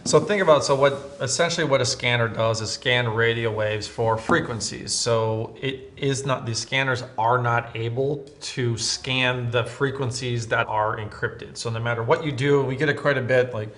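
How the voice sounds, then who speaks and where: Casual American male co-host, medium pitch, conversational tutorial delivery, restates points for emphasis. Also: fairly even intonation, moderate pace, few pauses, fairly bright tone. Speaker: co-host, police scanner seller. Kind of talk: casual explanatory talk in a tutorial video.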